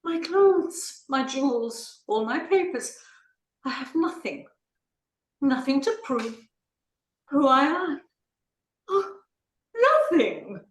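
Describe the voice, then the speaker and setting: A woman's voice: sits high, big pitch swings, takes it slowly, long pauses, exaggerated voice. A woman playing a haughty, indignant society lady in a comic play, imperious and theatrical. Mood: distraught. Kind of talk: comic stage play reading. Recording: roomy, echoing sound.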